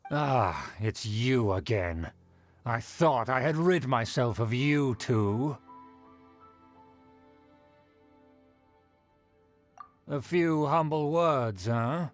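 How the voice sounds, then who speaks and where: A man's voice: medium pitch, lively intonation, slow. A puffed-up nobleman granting an audience in a fantasy game, formal and condescending, faint music behind him. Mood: smug, condescending. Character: pompous, condescending aristocratic uncle, self-important man. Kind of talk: video game voice acting.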